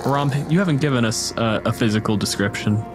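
A man's voice: mid-range voice, brisk. A man running a tabletop role-playing game as game master, narrating with descriptive, dramatic phrasing. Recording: music bed under the voice. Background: background music.

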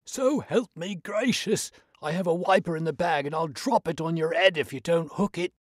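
A man voicing a lanky, cranky old male animal keeper with shrill, querulous, working-class speech. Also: normal speed, no room sound, clean studio sound, close to an everyday voice. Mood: angry shouting.

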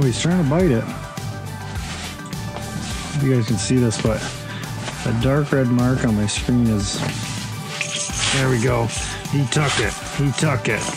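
A young man chatting excitedly to viewers while ice fishing, recorded inside a small tent on a body-worn camera. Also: mid-range voice, creaky, poor audio. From Canada. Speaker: fishing vlogger. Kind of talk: casual first-person narration while fishing. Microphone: action camera worn on the body.

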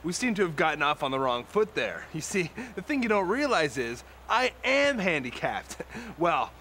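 A man playing a cocky, dim-witted, crude rock musician who tells boastful, slangy stories in an animated comedy. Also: a little high, normal speed, a little hiss or hum. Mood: cocky, rambling. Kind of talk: animated comedy storytelling and banter.

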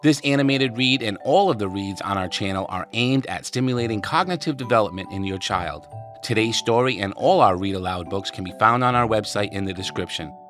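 A man narrating an expressive read-aloud for children as a storybook reader. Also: fairly low pitch, fairly quick, faint music behind.

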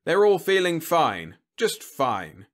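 Man putting on a loud, pitched-up blustering army general. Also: lively intonation, a little slow. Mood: chummy, assured. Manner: emphatic, blustering, snapping. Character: booming, overconfident, bullying army general, big man. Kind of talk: audiobook character acting.

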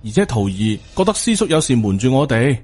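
A man's voice: mid-range voice, big pitch swings, fairly quick, a little mellow, breathy edge. A man voicing an earnest, respectful young Taoist disciple who pleads and reasons in an audiobook. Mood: earnest, worried.

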